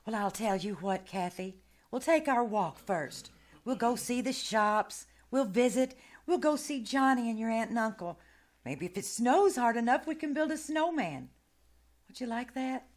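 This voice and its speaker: A woman's voice: a little high, expressive, normal speed, darkish tone, lightly acted. A woman playing a kindly, fretful nanny in an old-time radio drama, coaxing in a motherly way. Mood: forced cheer. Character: kindly, fretful nanny soothing a little girl.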